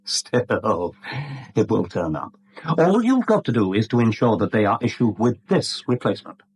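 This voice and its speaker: A man's voice: lowish voice, big pitch swings, normal speed, husky, heavily acted. A man acting a smug, breezy British confidence trickster in a 1970s radio drama, jaunty, sly and condescending.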